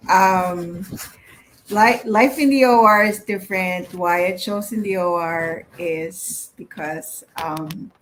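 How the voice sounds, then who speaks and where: Woman, 30s, from the Philippines, interview guest; lowish voice, casual and friendly, a touch of background noise. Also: slow pace, fairly bright tone, poor audio. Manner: friendly, many fillers, light laughs.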